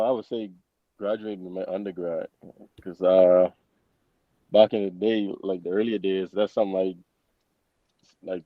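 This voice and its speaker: A man's voice: sits low, no echo. A man, giving casual, somewhat hesitant interview answers. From Bahamas. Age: 20s. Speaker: interview guest, college football player. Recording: dull lo-fi close microphone.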